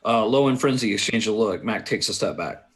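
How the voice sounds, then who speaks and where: A man narrating stage directions at a film script table read, halting and sometimes stumbling over his words. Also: lowish voice, lively intonation, fairly bright tone. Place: indoors on a remote internet call.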